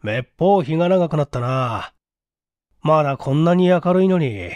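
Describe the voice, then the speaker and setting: A man voicing a sensible, teasing senior clerk in a story reading, measured and slightly authoritative. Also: a little high, lively intonation, a little mellow, dry recording, dull audio, lightly acted. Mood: musing.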